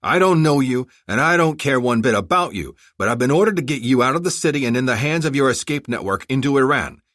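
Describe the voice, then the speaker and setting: Man acting a gruff, menacing armored soldier. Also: medium pitch, lively intonation, a little fast, fairly bright tone, no echo, studio quality, pitched above the performer's own voice, lightly acted. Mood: angry, menacing. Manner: curt, commanding.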